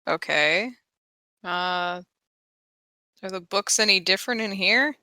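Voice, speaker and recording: A woman's voice: medium pitch, takes it slowly, bright, forceful tone, no echo, clean studio sound. A woman playing a tabletop game, speaking casually as she describes and questions her moves.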